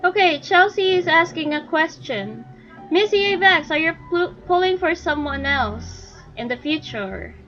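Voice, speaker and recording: A woman's voice: extremely high pitch, expressive, unhurried, fairly bright tone, lo-fi sound, soft background music. A woman game streamer chatting casually on a live stream, giving short reactive remarks.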